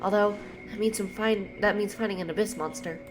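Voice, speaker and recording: A woman's voice: medium pitch, steady tone, brisk, music in the background. A woman streaming a game, giving blunt casual reactions and reading dialogue aloud in an informal way.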